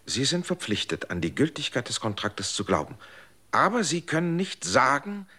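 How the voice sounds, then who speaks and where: A man's voice: mid-range voice, sing-song, moderate pace, pushed and bright, slightly rough, heavily acted. An actor playing an earnest man who asks probing questions and lectures in a radio drama.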